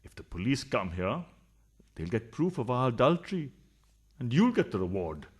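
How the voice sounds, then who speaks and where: A man plays a philandering husband in a radio farce. His rough, husky voice is mid-pitched but jumps about widely. He blusters and accuses, suspicious and tight-fisted. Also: very animated, normal speed. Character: blustering, suspicious, stingy male adulterous husband. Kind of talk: farcical radio play acting.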